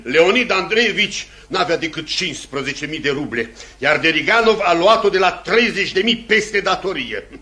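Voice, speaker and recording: An actor playing a tipsy self-made merchant in a theatrical Romanian radio play. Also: male voice, sits high, bright, forceful tone. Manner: theatrical, halting then gloating, laughing. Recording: noisy old 1950s radio recording.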